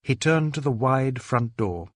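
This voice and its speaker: A man's voice: medium pitch, lively intonation, a little slow, no echo. Dry-sounding male audiobook narrator. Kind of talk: scripted literary narration.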